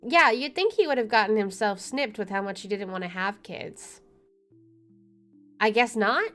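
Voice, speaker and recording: A woman with a mid-pitched voice plays through a story game. She reads its text aloud and slips into wry, chatty commentary. Faint game music runs underneath. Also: moderate pace, a bit bright. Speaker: let's play streamer.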